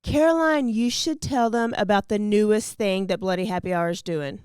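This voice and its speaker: A woman's voice: medium pitch, normal speed, darkish tone, clear, resonant tone, dry recording, studio quality. A woman chatting casually as co-host of a true crime podcast. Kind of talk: casual chat.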